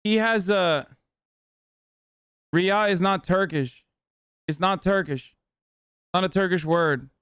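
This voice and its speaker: A man's voice: high voice, takes it slowly, pauses often, fairly bright tone, clean tone, dry recording, studio quality. A man in his thirties from the United States, reacting on a live stream with profane, sarcastic banter. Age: 30s.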